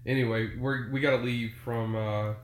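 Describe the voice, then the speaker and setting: A man's voice: medium pitch, fairly even intonation, moderate pace. A man co-hosting a casual podcast, congested from a cold and talking in an easygoing, joking, rambling way. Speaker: podcast co-host. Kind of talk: casual chat. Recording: echoey room with faint noise.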